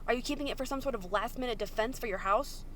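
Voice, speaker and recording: A woman's voice: a little high, fast, a bit bright, slight background noise, voice pushed up, close to an everyday voice. A woman voicing a sarcastic, indignant young male apocalypse survivor in a story reading, accusatory and emphatic. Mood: accusing, indignant.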